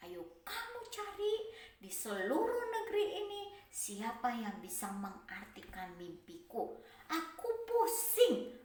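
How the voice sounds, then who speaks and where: A woman acting out a bossy, worried male king, shouting slow, drawn-out orders in a high voice. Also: heavily acted. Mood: commanding. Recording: roomy, mic at a distance.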